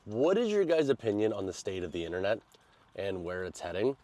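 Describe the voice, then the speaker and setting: A man's voice: on the low side, very animated, brisk, mellow. An American man with a congested, nasal voice chats casually as a vlogger, rambling in informal, slangy language. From United States. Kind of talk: casual vlog chat.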